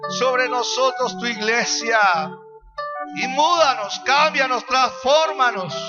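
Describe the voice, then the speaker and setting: A man leading worship as a pastor, praying and exhorting in an impassioned, repetitive, imploring way. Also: very high voice. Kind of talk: fervent charismatic prayer and exhortation over worship music. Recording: dull lo-fi sound under loud worship music. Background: loud worship music.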